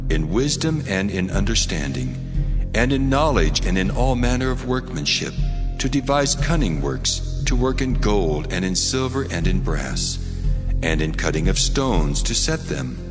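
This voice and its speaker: A man's voice: on the low side, sing-song, measured pace. A man playing God as a solemn, commanding divine voice in a dramatized scripture reading, speaking in declamatory style. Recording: long hall reverb, music underneath. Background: music.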